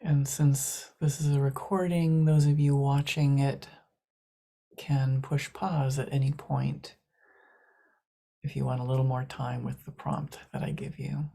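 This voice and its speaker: A woman leading a guided meditation in a soft, hushed manner, leaving long pauses between short phrases. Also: a bass voice, flat delivery, gravelly.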